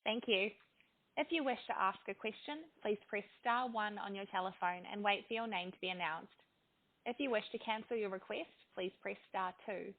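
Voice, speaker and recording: A woman's voice: medium pitch, moderate pace. A woman working as a conference call operator, reading scripted instructions and introducing callers. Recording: dull lo-fi conference line.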